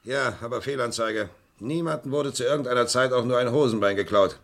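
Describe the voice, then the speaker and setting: A man's voice: mid-range voice, brisk, a bit bright, natural voice. A man playing a dutiful police assistant, matter-of-fact, in a German radio crime play. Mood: matter-of-fact, inquiring. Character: dutiful male police assistant reporting to his inspector.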